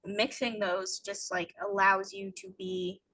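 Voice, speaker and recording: A woman's voice: mid-range voice, steady tone, a little slow, fairly bright tone, rough recording. Chatty woman podcast host. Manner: chatty, many "like" fillers. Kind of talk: casual interview chat.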